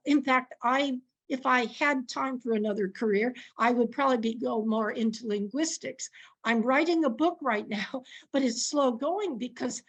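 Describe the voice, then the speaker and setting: A woman with a fairly high voice speaks slowly as a guest answering questions over a video call. She is thoughtful, often restarts her sentences and laughs softly between thoughts. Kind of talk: answering questions in an online session.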